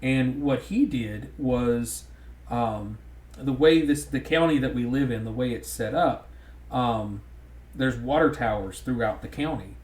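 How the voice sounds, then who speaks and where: A man's voice: mid-range voice, measured pace, darkish tone. A man with a thick Southern drawl, rambling in casual podcast chat with frequent restarts. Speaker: podcast co-host. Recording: roomy echo.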